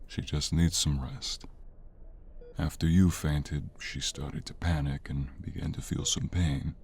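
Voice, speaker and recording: A man playing an older, stern but forgiving father in an audio drama, with a gravelly, husky voice and a measured, grave delivery. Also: extremely low pitch, fairly even intonation, slight background noise. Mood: calm, reassuring. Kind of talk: audio roleplay drama acting.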